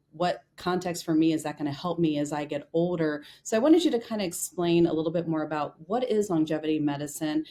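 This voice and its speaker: A woman's voice: sits low, warm tone. A woman hosting a podcast interview, speaking conversationally with many "you know" fillers. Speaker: podcast host dermatologist.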